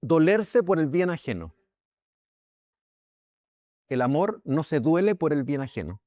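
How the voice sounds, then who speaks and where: A man's voice: on the high side, sing-song, unhurried, mellow, no echo, studio quality. A man with a Chilean accent, a pastor giving an informal Bible lesson in a conversational way, often checking in with his listeners and restarting his sentences. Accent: Chilean Spanish. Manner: many restarts and repeated words. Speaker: pastor teaching a Bible class.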